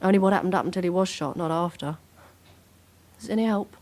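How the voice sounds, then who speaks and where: An actress playing a careful, sober police colleague giving a report in a radio drama. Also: female voice, on the low side, quick pace, darkish tone, natural voice.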